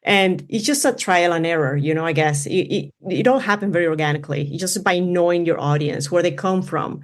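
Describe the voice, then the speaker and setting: A woman's voice: lowish voice, fairly quick. A woman from Venezuela with a Spanish accent, speaking English in a casual interview, rambling with many fillers. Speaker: running influencer guest.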